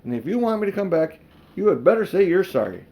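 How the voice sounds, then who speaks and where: A man playing a brisk, indignant young girl. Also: high voice, very animated, warm tone, voice pushed up, low-quality recording. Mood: cool, indignant. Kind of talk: children's storybook read aloud.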